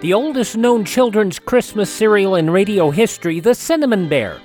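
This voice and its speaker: A man presents a radio program in a scripted announcing style. His pitch moves in a lively way from line to line, and faint music plays underneath. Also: moderate pace. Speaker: radio show host.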